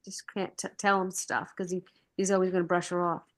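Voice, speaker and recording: A woman's voice: on the low side, level tone, brisk. Chatty woman in a book club. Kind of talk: casual group chat. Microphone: video call.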